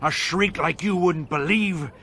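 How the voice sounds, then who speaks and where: Cocky rambling hunter in a fantasy game, bright lively voice, bit of ambience behind. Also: male voice, on the high side, measured pace, a little hiss or hum. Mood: storytelling. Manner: chatty, boastful, dramatic. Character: cocky, rambling rustic hunter telling his tale. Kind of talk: video game voice acting.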